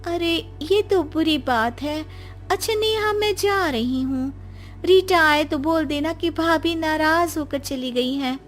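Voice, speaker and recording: A woman's voice: high voice, slow, soft background music, pitched above the performer's own voice. A woman voicing a fussy, easily offended aunt in a cartoon story.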